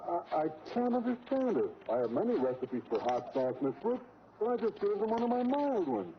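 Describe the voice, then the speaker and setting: A high-voiced, blustering and easily flustered man in a 1940s radio comedy. His delivery is theatrical and swoops widely, heard through a noisy, muffled old recording. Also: big pitch swings, a little fast. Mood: bashful. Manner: theatrical, exclamatory.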